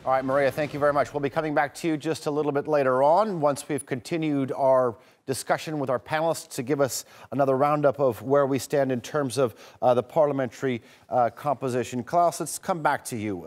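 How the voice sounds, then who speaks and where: A male anchor with a mid-range voice steers a live studio panel. He talks briskly and conversationally, with a few fillers as he tees up questions. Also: mid-range voice, a little mellow. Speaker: news anchor. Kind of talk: live news presenting.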